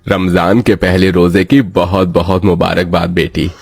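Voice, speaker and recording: A doting, well-to-do father in a story, played by a man. His voice is very low and husky, and he speaks with courtly fondness over soft music. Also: little pitch movement, measured pace, no echo, exaggerated voice. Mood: affectionate. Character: kind-hearted rich middle-aged father, doting industrialist.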